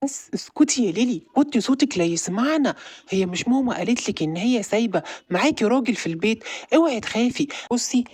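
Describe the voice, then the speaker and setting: A woman voicing a young boy who acts the protective big brother, talking fast and bossily. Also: a little high, animated, a little mellow, breathy edge, no room sound. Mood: bossy. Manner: bossy, protective. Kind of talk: audio drama.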